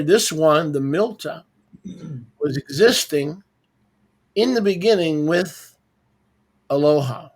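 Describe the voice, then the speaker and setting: A man hosting an informal religious teaching over an online video call, explaining didactically and repeating key words for emphasis. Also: fairly high pitch.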